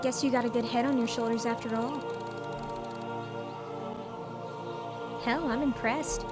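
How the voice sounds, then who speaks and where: High-pitched brooding schoolgirl, acted by a woman. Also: fairly even intonation, fairly quick, loud music behind the voice, lightly acted. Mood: relieved, grateful. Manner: articulate, formal, brooding monologue delivery. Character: gloomy philosophical schoolgirl, existentially anxious, articulate. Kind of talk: fan dub of a dating sim game.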